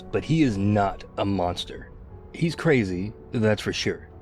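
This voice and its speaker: Man playing a low-voiced, weary apocalypse survivor. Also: darkish tone, close to an everyday voice. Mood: reflective. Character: weary, loyal, tough zombie apocalypse survivor. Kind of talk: intimate scripted ASMR roleplay.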